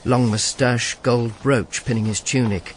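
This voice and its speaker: A man's voice: medium pitch, fairly even intonation, unhurried, slight background noise, lightly acted. Man playing dry, world-weary Roman agent. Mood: dry, resigned. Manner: dry, sardonic, understated. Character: wry, world-weary Roman imperial agent narrating his own misadventures. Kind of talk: first-person radio drama narration and dialogue.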